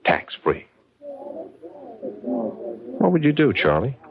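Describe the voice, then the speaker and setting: A man's voice: medium pitch, big pitch swings, slow pace, vocal fry, background noise, full character voice. An actor playing a scheming, cynical ad agency man in a theatrical, insinuating 1970s radio drama style. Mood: brooding, insinuating. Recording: dull 1970s radio broadcast recording.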